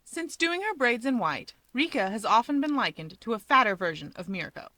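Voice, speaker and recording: A woman narrating a scripted piece of fiction in an expressive storytelling style. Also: a little high, normal speed, no room sound.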